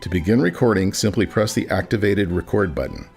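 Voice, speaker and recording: Low-voiced man narrating a scripted tutorial. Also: lively intonation, fairly quick, vocal fry, darkish tone. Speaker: narrator, product demonstrator. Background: background music.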